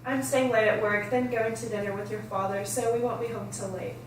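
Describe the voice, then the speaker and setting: A woman's voice: mid-range voice, steady tone, fairly quick, natural voice. A woman reading the part of a friendly, caring mother of a teenager in an amateur drama table read. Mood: friendly, everyday. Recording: roomy, distant, faint noise.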